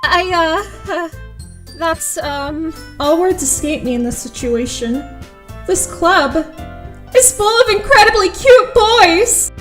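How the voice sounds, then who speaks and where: Woman acting a high-pitched, self-conscious schoolgirl. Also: unhurried, faint music behind. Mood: flustered. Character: awkward, earnest teenage schoolgirl narrating her thoughts. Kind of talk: audio drama narration and acting.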